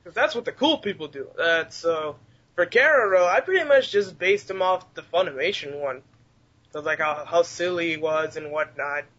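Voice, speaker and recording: Giggly, high-voiced young man. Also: moderate pace. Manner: giggly, sweary, excitable. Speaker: fan dub voice actor. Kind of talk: casual podcast chat. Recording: dull lo-fi recording.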